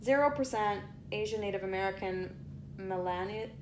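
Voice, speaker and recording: A young woman talks casually to her viewers, reading out lines with chatty asides. Her voice sits at a middle pitch. A faint hiss lies under the home recording. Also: normal speed. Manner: chatty, reads aloud with asides, stumbles over place names. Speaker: vlogger. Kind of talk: casual vlog reading out results.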